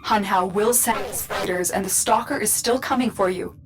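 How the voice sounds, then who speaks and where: A woman's voice: normal speed, close to an everyday voice. A woman playing a composed guide in a video game, giving instructions with controlled urgency. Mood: commanding. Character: composed, urgent female guide directing a mission. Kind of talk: video game character acting. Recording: loud music and engine-like rumble behind. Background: loud game score.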